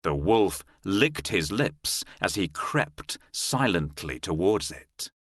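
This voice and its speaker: Male children's storybook narrator: measured pace, hugely varied intonation, dry studio sound. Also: low-pitched, very animated, fairly bright tone, no room sound. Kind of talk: scripted fairy tale narration for children.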